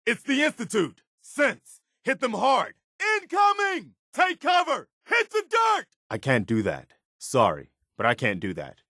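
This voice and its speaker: A man's voice: very high voice, wildly swooping pitch, unhurried, hardly any pauses, sharp tone, no echo, clean studio sound, full character voice. A man voicing an earnest, principled militia soldier as a companion in a video game. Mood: steady, calm. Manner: earnest, plain-spoken soldier.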